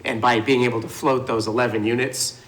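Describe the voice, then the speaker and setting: A man's voice: medium pitch, little pitch movement, fairly quick, a bit bright, slight background noise. A man testifying at a city committee meeting, explaining housing project details in a patient, restarting way. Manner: explanatory, with frequent restarts and fillers. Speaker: housing developer presenting to a committee.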